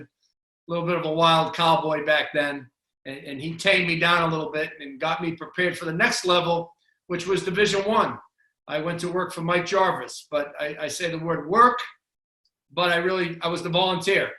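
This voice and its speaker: A man's voice: on the high side, sharp tone, echoey room, rough recording. A middle-aged man with a Boston accent, a basketball coach telling his career story in a casual interview, with frequent restarts. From Boston area, USA.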